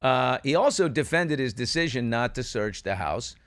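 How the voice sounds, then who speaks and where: A male talk show host with a mid-pitched voice and very little room sound. He discusses a crime case in an emphatic, opinionated manner, putting rhetorical questions as he builds his points. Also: expressive, normal speed, a bit bright, no echo, clean studio sound.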